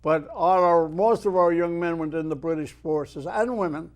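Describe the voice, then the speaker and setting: An Irish man in his eighties, a retired mariner guest telling stories and reciting his own poetry in a measured way. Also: on the high side. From Ireland. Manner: measured storyteller who declaims verse with swelling emphasis. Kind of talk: unhurried storytelling and poetry recitation.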